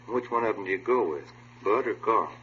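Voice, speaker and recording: An actor playing a watchful, matter-of-fact police detective in a 1950s radio drama, with an informal way of talking. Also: male voice, on the low side, expressive, brisk, bright, forceful tone, slight background noise. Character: plain-spoken, watchful male police detective. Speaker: supporting actor. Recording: muffled, humming vintage radio recording.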